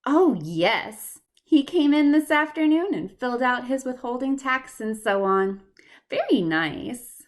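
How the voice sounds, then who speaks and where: A woman's voice: high voice, animated, measured pace. A woman playing an effusive, polite society lady in a 1950s-style detective radio play, with a mannered delivery. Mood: gushing.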